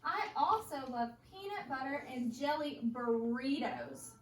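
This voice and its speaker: A woman's voice: high-pitched, expressive, measured pace. A woman teacher reading a storybook aloud to young children, emphatically, stopping to ask questions and repeat their answers. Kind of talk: interactive storybook read-aloud. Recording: roomy echo, distant mic, background noise.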